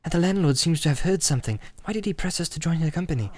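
A man's voice: on the high side, animated, very fast, a little mellow, voice pushed up, natural voice. A man voicing a small, wary, anxious male hobbit who is polite but testy and questioning, in an audiobook. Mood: worried.

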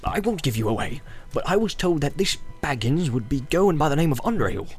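A man plays a busy, flustered innkeeper in a fantasy audiobook. He rambles apologetically and trips over his words, hesitating often. Music plays softly behind him. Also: on the high side, big pitch swings, fairly quick, pitched above the performer's own voice. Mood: knowing.

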